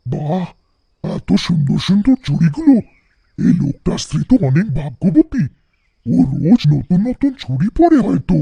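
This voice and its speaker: A man voicing a kindly witch who muses softly to herself in a cartoon folktale. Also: on the high side, very animated, normal speed, creaky, slightly rough, no room sound, dull audio, full character voice. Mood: admiring, wistful. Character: pitying witch living in a tree, delighted by pretty bangles. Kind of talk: animated folktale voice acting.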